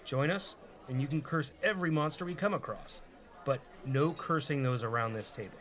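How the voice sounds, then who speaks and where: A man's voice: mid-range voice, moderate pace, a bit bright, natural voice. A young rogue played by a man with a mid-range voice, casual and persuasive. Comedy radio play acting of a reluctant leader, faint crowd murmur behind. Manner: casual, bargaining.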